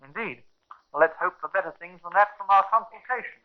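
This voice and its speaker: Man as a high-voiced, formal physician. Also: brisk, natural voice. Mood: formal, cool. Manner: precise, courteous. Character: formal, dignified visiting family physician. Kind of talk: 1950s radio drama acting. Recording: dull, lo-fi old radio recording.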